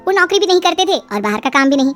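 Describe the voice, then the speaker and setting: A woman's voice: very high-pitched, very animated, fast talker. A woman reading a scripted story as its narrator. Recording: music behind the voice.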